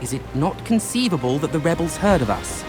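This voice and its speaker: High-voiced, articulate Roman nobleman. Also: very animated, over music. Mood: reasoning. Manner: measured, formal, articulate. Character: reasonable, educated Roman nobleman, calm strategic advisor. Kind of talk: scripted video game acting.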